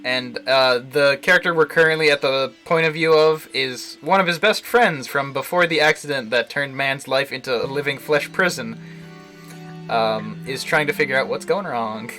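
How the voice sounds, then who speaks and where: A man's voice: mid-range voice, normal speed, sharp tone. A man livestreaming casual commentary on a visual novel, thinking aloud in a rambling, jokey way. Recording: music behind the voice. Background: game music.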